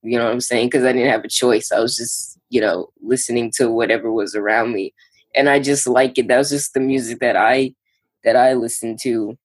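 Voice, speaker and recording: A young New musician talks through his start in music in a loose, halting way, leaning on fillers as he thinks. His voice sits at a middle pitch for a man comes through in the casual chat. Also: steady tone, poor audio. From New York. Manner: laid-back, halting, lots of "like". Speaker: musician guest. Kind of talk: casual interview.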